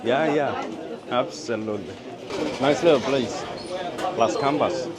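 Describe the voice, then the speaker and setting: A man's voice: mid-range voice, unhurried. Male travel vlogger from Birmingham, busy surroundings. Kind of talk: casual vlog chat and narration. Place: out and about in a city. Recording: busy street and cafe chatter behind.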